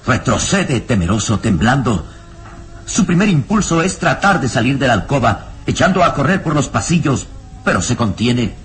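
A man narrating a radio melodrama in suspenseful, measured phrases with dramatic emphasis. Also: mid-range voice, sing-song. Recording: radio drama with music underneath.

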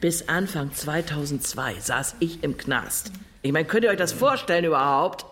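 A woman's voice: sits low, expressive, normal speed, fairly bright tone, a little hiss or hum. An actress playing a bitter, coarse middle-aged woman who tells her story sardonically in a radio drama, in colloquial speech. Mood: bitter, indignant. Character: bitter, foul-mouthed middle-aged woman telling of prison. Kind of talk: first-person radio drama narration.

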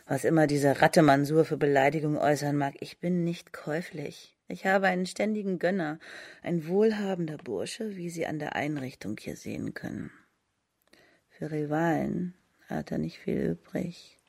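A young courtesan in a radio drama, proud and scornful. Her voice is husky and creaky, recorded close and dry. She speaks frankly, with a cynical, dismissive edge. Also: low voice, moderate pace, a little mellow, dry recording, heavily acted. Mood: haughty, teasing.

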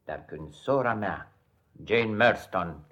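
An actor playing a cold, domineering, self-righteous husband in a radio play, pompous and stern, with deliberate theatrical delivery. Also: male voice, on the low side, lively intonation, fairly bright tone. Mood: indignant, pompous. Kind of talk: 1950s radio theatre acting.

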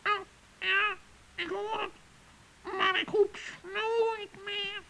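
A performer playing a gloomy, dejected little male creature in a children's radio play, speaking in a mournful, drawn-out way. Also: very high-pitched, level tone, gravelly, pushed and bright, full character voice. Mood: forlorn.

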